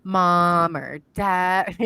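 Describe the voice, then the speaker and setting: A woman's voice: on the low side, pushed and bright, clean tone, no echo. A middle-aged woman with an Iowan accent hosts a casual live-stream chat, talking in a rambling way that trails off as she works the screen. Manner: chatty and rambling, trails off while working the screen. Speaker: podcast host. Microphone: video call.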